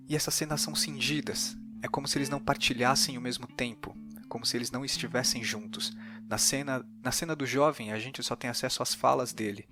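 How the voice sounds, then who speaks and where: A man with a Brazilian Portuguese accent hosting a podcast, giving articulate, reflective commentary and questions. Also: medium pitch. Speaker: podcast host and interviewer. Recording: guitar music behind the voice.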